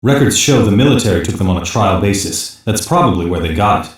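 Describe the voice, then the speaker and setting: A male actor plays an earnest detective in an elite anime police squad. He gives reports in a low, matter-of-fact voice, sticking to procedure. The audio is very echoey and distant. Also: animated, quick pace, lightly acted. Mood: businesslike. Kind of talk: English-dub anime voice lines.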